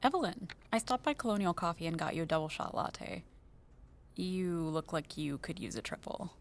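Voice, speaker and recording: An actress playing a friendly, chatty, curious office coworker in an audio drama, in a natural conversational style. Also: female voice, lowish voice, animated, normal speed. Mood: friendly, gossipy.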